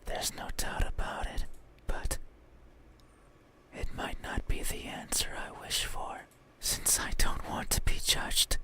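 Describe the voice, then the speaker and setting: A performer voicing an eerie, mouthless creature in a horror game, speaking in a breathy, halting whisper, shy yet obsessed with being seen. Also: natural voice. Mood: yearning, intimate. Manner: slow, halting, intimate, creepy. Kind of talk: horror visual novel character acting. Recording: whisper over game music.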